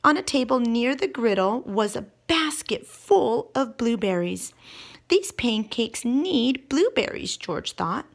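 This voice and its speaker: Animated woman reading a children's storybook. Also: a little high. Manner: expressive, drawn-out emphasis on key words. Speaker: teacher reading a picture book aloud. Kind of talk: animated children's read-aloud with questions to the listeners.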